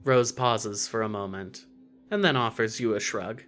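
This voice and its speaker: A man narrating scripted fiction in a measured, wry manner. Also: on the low side, fairly bright tone.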